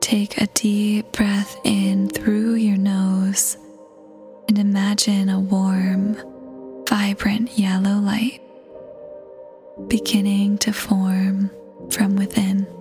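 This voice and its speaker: A woman leads a guided meditation in a soft, breathy near-whisper. Her delivery is very slow and soothing, with gentle pauses and hardly any rise or fall in pitch. Faint music plays behind her. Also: flat delivery. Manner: soothing, unhurried, gentle pauses between phrases. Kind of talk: scripted guided meditation, ASMR-like. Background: soft new-age music.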